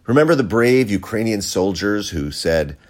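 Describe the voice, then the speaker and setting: A sarcastic American man rants through a podcast monologue, swearing freely. His voice sits fairly low but swings widely up and down as he mocks and jabs. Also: expressive, moderate pace, darkish tone. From United States. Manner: sarcastic, profane ranting. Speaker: podcast host. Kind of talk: opinion monologue.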